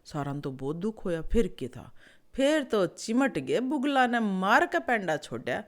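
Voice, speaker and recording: A woman's voice: mid-range voice, very animated, measured pace. A woman with a Haryanvi accent telling an animal fable in a conversational, folksy way, repeating phrases for effect. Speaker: storyteller, show host.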